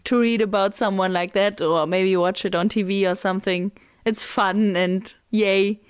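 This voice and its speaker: A woman's voice: mid-range voice, steady tone, moderate pace, smooth. A woman co-hosting a casual book podcast, giggly and self-mocking as she gushes. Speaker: podcast co-host. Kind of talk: casual chat.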